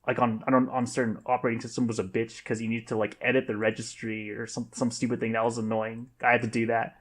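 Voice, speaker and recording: A man's voice: medium pitch, level tone, fast. A man chatting casually as a co-host on a podcast. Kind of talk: casual chat.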